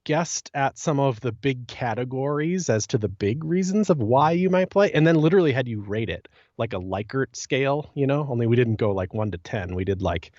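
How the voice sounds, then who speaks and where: A man's voice: mid-range voice, lively intonation, fairly quick, few pauses, darkish tone, no echo. Enthusiastic rambling man, slightly muffled dry audio. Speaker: podcast guest. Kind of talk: casual podcast chat.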